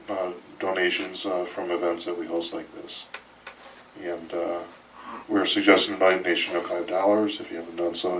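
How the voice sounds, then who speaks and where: A man with a low, deep voice introduces the evening, stumbling over fillers as he goes. He sounds far from the mic, with a faint hum of room noise. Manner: hesitant, with many fillers. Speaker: event volunteer and host. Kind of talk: introductory announcement.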